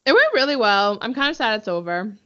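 A woman's voice: a little high, very animated, a little fast, a bit bright, no room sound. Upbeat young woman on a lo-fi line. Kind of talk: casual chat.

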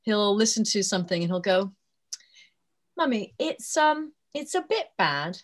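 A woman's voice: mid-range voice, measured pace. Laughing American woman, voice actress. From United States. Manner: laughs often, self-deprecating, lively storytelling. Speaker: voice actress guest. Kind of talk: casual interview chat over a call.

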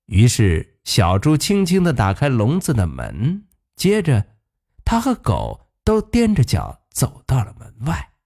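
A storyteller narrating a fairy tale for children in an expressive style. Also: medium pitch, a little slow.

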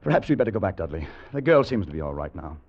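A dull vintage radio recording with music behind carries a male time traveller telling his story. His voice is low and very animated in pitch. He is cautious and full of wonder, with dramatic narration and hesitant dialogue. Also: very fast, breathy edge, heavily acted. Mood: anxious. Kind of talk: old-time radio drama acting, first-person narration.

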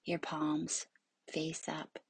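A woman leading a guided yoga nidra meditation in a soothing, hushed, breathy voice that often nears a whisper, with long pauses. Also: low-pitched, completely flat delivery, dry recording.

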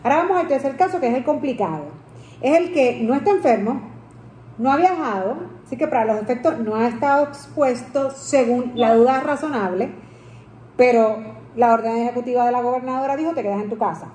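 A woman's voice: high voice, brisk, a little mellow. A woman with a Puerto Rican Spanish accent hosting a conversational interview and putting questions to a guest. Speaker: interviewer, host. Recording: echoey room with some background noise.

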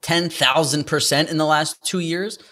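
A man's voice: a little high, steady tone, moderate pace, sharp tone. A man co-hosting a podcast, telling loose, profane stories in casual chat with lots of fillers. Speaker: podcast co-host. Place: away in a cold city, remote call.

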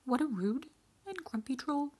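A woman's voice: sits high, animated, normal speed, a little mellow, voice pushed up. A woman voicing a fat middle goat in a storybook, polite and cheeky, with a chirpy manner. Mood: cheeky, pleading.

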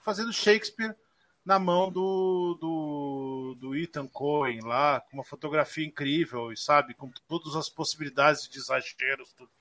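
A Brazilian man co-hosting a casual film podcast, animated and full of restarts and fillers. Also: fairly high pitch, measured pace. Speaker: podcast co-host.

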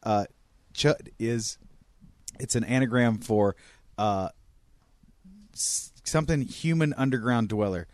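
A man's voice: mid-range voice, slow pace, pauses often, darkish tone, no room sound, clean studio sound. A man chatting and bantering casually as a podcast co-host.

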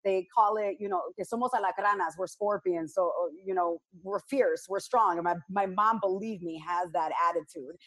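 A woman's voice: mid-range voice, normal speed, hardly any pauses, fairly bright tone. An outspoken woman from Chicago's south side, talking as a guest on a panel discussion. She tells her stories bluntly and with lots of animation, in the easy, sure manner of a radio personality. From United States, south side of Chicago.

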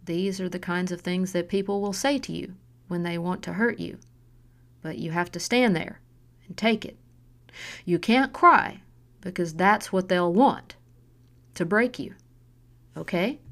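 A woman voicing a drunk, cruel mother who taunts and shouts with harsh scorn, in a storybook reading. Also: on the low side, a little slow, pauses often. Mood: coldly instructive. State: drunk, slurring. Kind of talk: audiobook narration.

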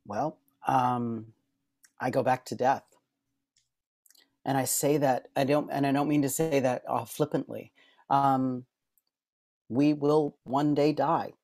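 A man's voice: mid-range voice, fairly even intonation, takes it slowly, pauses often, darkish tone, no echo. Earnest middle-aged man, dry close sound. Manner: earnest, heartfelt, many fillers and restarts. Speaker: podcast guest, author and artist. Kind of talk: reflective interview talk.

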